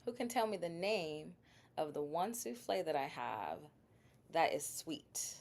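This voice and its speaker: Slow, low-pitched chatty livestream host. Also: female voice, sing-song, gravelly, a little mellow. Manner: chatty, addresses viewers directly, asks quiz questions. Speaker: livestream host selling bath products. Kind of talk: casual live sales stream.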